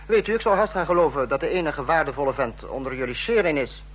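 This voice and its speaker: Menacing boss of a fascist regime, radio play, scornful, rough husky voice. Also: male voice, fairly high pitch, expressive, quick pace, a bit bright, rough recording. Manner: cutting, sarcastic, authoritarian. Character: stern, contemptuous, menacing commander of a fascist state. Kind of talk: Dutch radio play acting.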